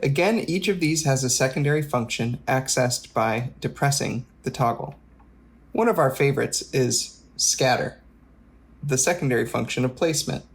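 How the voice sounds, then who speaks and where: A man's voice: mid-range voice, normal speed. A man narrating a technical music device tutorial, explaining each step methodically. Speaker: presenter of an instrument demo. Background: loud demonstrated instrument sounds.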